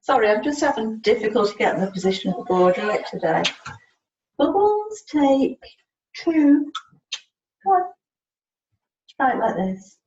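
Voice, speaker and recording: A woman giving a one-to-one lesson, coaxing and prompting, repeating words deliberately and spelling out letters one by one on a video call. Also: a little high, expressive, darkish tone. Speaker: communication support partner helping a learner spell out words. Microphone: video call, away from the microphone. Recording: echoey room, muffled distant mic, faint noise.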